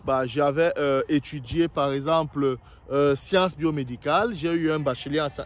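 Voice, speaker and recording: Hesitant adviser, man. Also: a little high. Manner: explanatory, addresses viewers directly, frequent hesitations and restarts; acts out example sentences in the first person. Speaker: visa application adviser. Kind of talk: spoken tutorial on writing a motivation letter.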